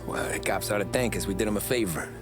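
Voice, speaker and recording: A man's voice: lowish voice, fast talker, music in the background, close to an everyday voice. An actor playing a loyal, modest young gangster in a video game, understated and deferential to his boss. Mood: defensive. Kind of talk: dramatic video game acting.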